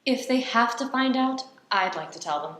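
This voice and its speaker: Woman as a bright, firm spy mother. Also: on the high side, expressive, normal speed, slight background noise. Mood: explaining. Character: exasperated, firm mother who is secretly a spy. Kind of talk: radio play acting. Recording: echoey room.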